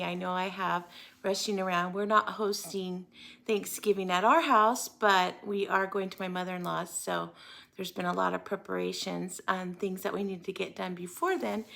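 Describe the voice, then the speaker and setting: A woman's voice: medium pitch, fairly even intonation, moderate pace, creaky, a bit bright. An American woman chatting casually to viewers as host of a hobby vlog, in a friendly, talkative manner. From United States. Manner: chatty, friendly greetings and asides. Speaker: host of a cross-stitch video channel.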